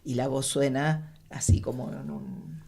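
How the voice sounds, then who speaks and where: A woman's voice: a bass voice, steady tone, measured pace, vocal fry, dark tone, husky. A woman explaining technical craft informally as a guest, rephrasing as she goes.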